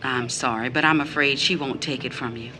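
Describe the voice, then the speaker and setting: A woman's voice: very deep voice, fairly even intonation, fairly quick, pushed and bright, a little hiss or hum. An actress playing a tired, numb, poor mother, wary and protective, with blunt questioning, in an audio drama. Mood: protective, coaxing. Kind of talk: scripted audio drama acting.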